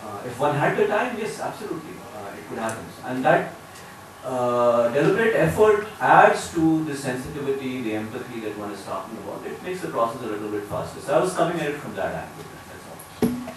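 A man in his thirties with an Indian accent, giving measured, hesitant remarks as a panelist at a conference table. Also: medium pitch, big pitch swings. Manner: measured, hesitant with many fillers. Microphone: table mic, far camera. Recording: echoey hall, distant mic, noise.

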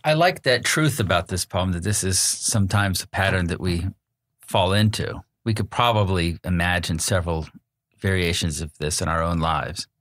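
A man's voice: sits low, animated, normal speed, fairly bright tone, dry recording, studio quality. A man talking casually about poetry as a panelist on a literary podcast.

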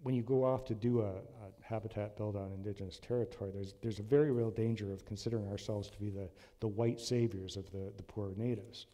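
A man in his sixties giving an earnest, measured sermon from a church lectern, with frequent ums and restarts. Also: lowish voice, fairly even intonation, mellow. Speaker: lay preacher. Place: church sanctuary. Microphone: lectern mic. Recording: long hall reverb in a church.

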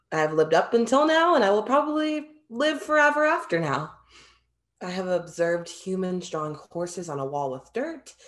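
An actress plays an ageless female muse with a fairly low voice. She muses in a haughty, theatrical way, delivering a stage monologue over a video call. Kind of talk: dramatic monologue, virtual staged reading. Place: plain indoor room, video call.

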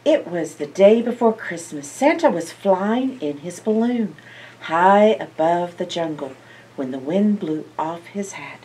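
An older woman reads a children's picture book in an expressive storyteller's manner. Her pitch swings widely and she takes her time. The microphone sits a little way off from her. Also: measured pace. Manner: expressive, asks listeners questions, animated reactions. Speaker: library storyteller. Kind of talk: read-aloud picture book for young children. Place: indoor library, seated by bookshelves. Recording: slightly distant microphone.

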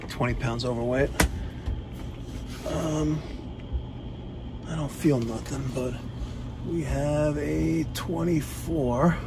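A man in his forties, an HVAC technician, chatting casually to viewers while working on a service call. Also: mid-range voice. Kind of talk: first-person service call vlog. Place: outdoors beside AC units at a large house. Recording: background AC and outdoor noise.

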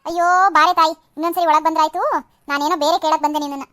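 A woman's voice: sits high, level tone, rapid-fire, fairly bright tone. A woman voicing a rustic village woman visiting a neighbour. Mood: friendly. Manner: calling out, rustic. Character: village woman calling on her friend.

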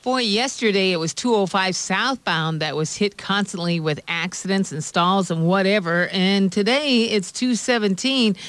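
A woman's voice: mid-range voice, moderate pace, few pauses, fairly bright tone, no echo. American woman reading traffic, dry close sound. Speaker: radio traffic reporter. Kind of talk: live traffic report.

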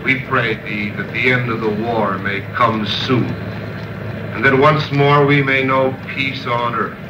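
Old echoey noisy tape, army chaplain guy praying solemnly, real slow. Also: mid-range voice, fairly even intonation. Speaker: military chaplain. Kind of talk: solemn public prayer. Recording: noisy echoing 1940s archive recording.

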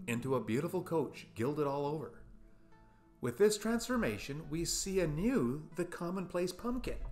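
A man in his fifties giving a scripted, essay-like talk to camera, asking rhetorical questions with playful emphasis. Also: fairly high pitch. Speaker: video essayist. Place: small room at home, indoors.

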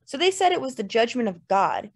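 A woman's voice: a little high, very animated, brisk, pitched above the performer's own voice. A woman voicing a laid-back young man telling a joke conversationally in an audiobook. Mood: joking. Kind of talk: dramatized literary audiobook dialogue.

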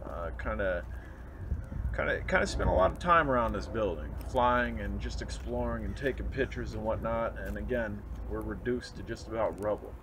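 A man narrating his vlog outdoors, earnest and reflective, often pausing and restarting. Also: on the low side, gravelly, noisy background. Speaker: urban explorer vlogger. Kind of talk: casual walk-and-talk narration. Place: outdoors at a snowy demolition site.

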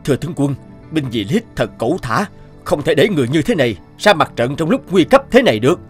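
A man's voice: on the high side, sing-song, quick pace, faint music behind. A man voicing a stern, dutiful army squad leader in a cartoon, formal and commanding. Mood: urgent appeal.

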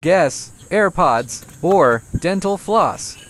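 Quiz host for short guessing-game prompts, slow delivery, swooping pitch, very noisy clicky recording. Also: animated.

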